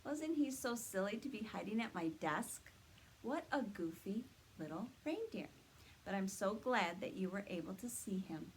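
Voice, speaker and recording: A woman's voice: mid-range voice, animated, moderate pace, slight background noise, poor audio. A woman leading a read-aloud story time for young children, sing-song and encouraging, explaining things simply.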